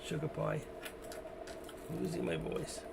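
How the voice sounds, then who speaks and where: A man hosting a casual trading livestream, rambling to himself and his chat with a hoarse voice. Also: a little high, monotone, unhurried, noisy background. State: losing his voice. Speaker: stock trading livestream host. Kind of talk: casual live market commentary.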